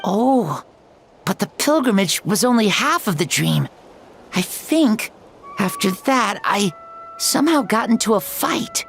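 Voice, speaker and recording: Earnest young boy, slightly creaky. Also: medium pitch, wildly swooping pitch, a little slow, soft background music, heavily acted. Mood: wondering. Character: earnest, wide-eyed young boy, frail but eager. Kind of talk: video game voice acting.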